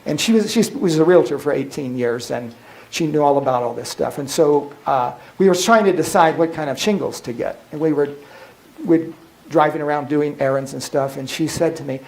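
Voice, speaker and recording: A man's voice: fairly high pitch, normal speed, gravelly, darkish tone, poor audio. Older man presenting to a group. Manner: conversational, checks in with listeners often. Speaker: workshop presenter. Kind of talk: informal talk to a group. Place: meeting room with an audience.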